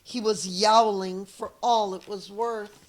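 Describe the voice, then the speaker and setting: A middle-aged woman reading a children's storybook aloud in an expressive, deliberate teacher's manner. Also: mid-range voice. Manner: slow, deliberate, acts out dialogue.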